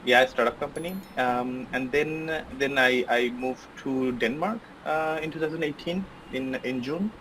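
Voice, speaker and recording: A man's voice: medium pitch, a little slow, few pauses, fairly bright tone, slight background noise, rough recording. A man from Bangladesh, a podcast guest, answering interview questions in a casual, hesitant way with many fillers and restarts. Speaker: podcast guest, software engineer.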